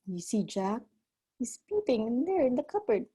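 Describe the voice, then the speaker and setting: A woman reading a storybook aloud like a preschool teacher, expressive and simply worded for small children. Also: on the high side, normal speed, husky.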